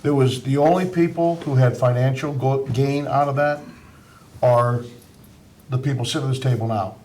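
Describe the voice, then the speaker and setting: A man's voice: medium pitch, steady tone, normal speed, mellow, a little hiss or hum. A man, a township trustee, answering questions firmly at a meeting. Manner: firm, procedural. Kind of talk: meeting discussion.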